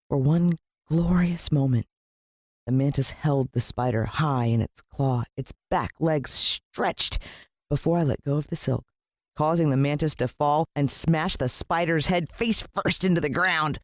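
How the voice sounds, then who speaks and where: An American woman narrating a children's story in an expressive, dramatic storytelling manner. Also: deep voice, no echo, studio quality. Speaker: audiobook narrator.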